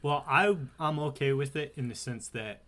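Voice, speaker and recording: A man co-hosting a podcast, chatting casually. He is excitable and profane, and his loudness swings a lot. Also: medium pitch, normal speed. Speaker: podcast co-host. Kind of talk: casual chat.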